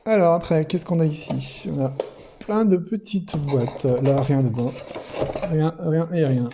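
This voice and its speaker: A man's voice: fairly high pitch, unhurried, background noise. A man presenting a product unboxing in casual, unscripted commentary, thinking aloud somewhat hesitantly.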